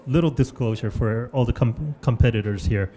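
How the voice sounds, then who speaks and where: A man's voice: medium pitch, moderate pace, mellow. A man commentating at a live event, interviewing competitors in a joking, teasing, chatty way with frequent restarts.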